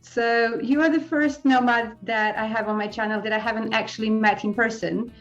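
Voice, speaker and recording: A woman's voice: a little high, fairly even intonation, moderate pace, fairly bright tone, muffled recording, faint music behind. A middle-aged woman born in Serbia, hosting a casual interview in a friendly way and laughing often. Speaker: host.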